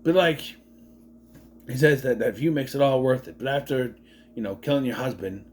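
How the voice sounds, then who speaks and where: A man's voice: medium pitch, normal speed, a little mellow. A man in his late thirties giving a casual movie review, rambling, swearing freely and wandering off on tangents. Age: 30s.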